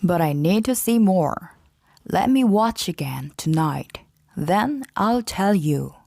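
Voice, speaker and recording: Woman reading as a measured, earnest soldier. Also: on the low side, animated, creaky, a little mellow, no room sound, studio quality, voice pushed down, full character voice. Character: brave soldier, a man, earnest and careful.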